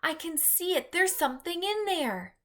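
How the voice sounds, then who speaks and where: A woman's voice: very high-pitched, big pitch swings, normal speed, a bit bright, voice pushed up, heavily acted. A woman voicing a curious, outspoken eight-year-old girl in a children's storybook, direct and questioning. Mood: excited, frightened. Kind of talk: read-aloud children's storybook.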